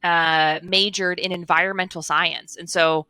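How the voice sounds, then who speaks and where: A woman's voice: lowish voice, level tone, measured pace, pushed and bright, clear, resonant tone. A woman, telling her story as a guest over a headset video call, earnest and fluent with frequent fillers. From Chicago, USA. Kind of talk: casual interview storytelling. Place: home office with blinds, indoors.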